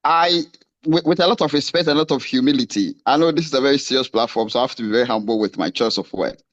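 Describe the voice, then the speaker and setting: A man's voice: medium pitch, fast, highs cut off. A man from Ghana, an academic and novelist, asking an impassioned question at a panel, firing off rhetorical questions with rising emphasis. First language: a Ghanaian language.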